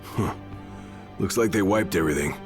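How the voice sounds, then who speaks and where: An actor playing a practical, loyal bodyguard in a video game, speaking in a terse, blunt, casual way. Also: male voice, deep voice, normal speed, natural voice. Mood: focused. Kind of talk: video game cutscene acting. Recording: music over the voice.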